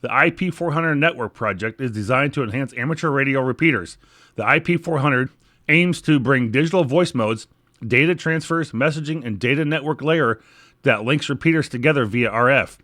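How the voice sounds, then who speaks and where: A man's voice: medium pitch, normal speed, bright, forceful tone, no room sound. American man hosting a hobby podcast, dry sound. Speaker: podcast host. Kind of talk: podcast news reading and sponsor reads.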